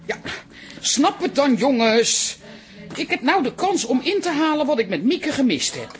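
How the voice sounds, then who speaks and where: A woman's voice: high-pitched, big pitch swings, normal speed. An actress playing a flustered, emotional older grandmother in a radio drama, hesitant and stumbling. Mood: defensive, pleading. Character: flustered, emotional grandmother defending her second chance. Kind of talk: naturalistic radio drama acting.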